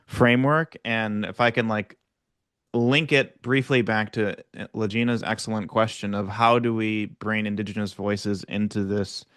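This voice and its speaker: A young man talks through his ideas on a panel, wandering with fillers and self-corrections. His voice is low-pitched, smooth and resonant, and the sound is dry and close. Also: fairly bright tone, no echo. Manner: rambling, frequent fillers and restarts. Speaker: panel guest. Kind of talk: casual panel discussion.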